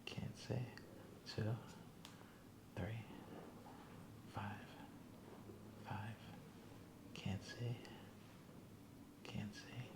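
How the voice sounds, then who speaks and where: A man playing a patient in an ASMR roleplay, giving short, quiet answers in a whispery murmur. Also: on the low side, fairly even intonation, very slow, pauses often. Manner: brief, quiet, compliant answers.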